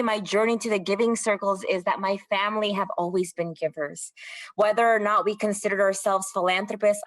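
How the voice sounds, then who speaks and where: A young woman from California opens an online session over a video call. She talks upbeat and warm-hearted, stretching out key phrases for emphasis, with a few "um"s along the way. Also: medium pitch, low-quality recording. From California, USA. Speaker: workshop host. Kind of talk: welcoming webinar host.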